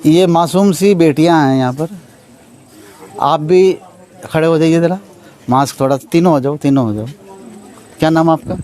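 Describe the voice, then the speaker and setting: A male TV reporter asks gentle, probing questions at an outdoor protest. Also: a little high, moderate pace, long pauses. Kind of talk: field interview.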